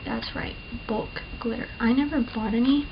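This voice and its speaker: A young woman talks through her makeup at home in a chatty, rambling way, often joking at her own expense. Her voice sits a little high and carries a slight lisp. The built-in camera mic sounds dull and muffled, with a clear layer of hiss under everything. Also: moderate pace. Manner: chatty, self-deprecating, rambling with fillers. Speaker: beauty vlogger. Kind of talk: casual makeup tutorial chat.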